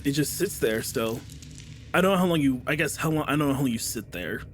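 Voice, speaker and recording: A man's voice: mid-range voice, animated, brisk, slight background noise. A man running a tabletop game, narrating a scene in an easygoing way with plenty of fillers. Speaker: game master. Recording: clear background music.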